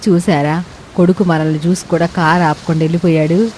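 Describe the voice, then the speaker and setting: Woman acting a caring older village mother-in-law, lowish. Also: level tone, a little fast, a little mellow, a little hiss or hum, lightly acted, poor audio. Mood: hurt. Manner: consoling, plain-spoken.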